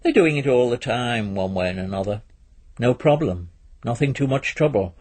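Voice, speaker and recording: Man doing a offhand young bloke from an audiobook, casual slangy talk, bit husky. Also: mid-range voice, lively intonation, moderate pace, darkish tone, close to an everyday voice. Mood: breezy, knowing. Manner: casual, slangy, hesitant fillers. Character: laid-back, slangy young man, recently dumped, offhand.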